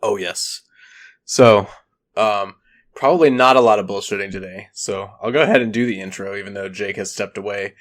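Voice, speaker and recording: A man hosting a podcast, joking and swearing in relaxed banter, a little congested from allergies. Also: lowish voice, normal speed. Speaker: podcast host. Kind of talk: casual chat.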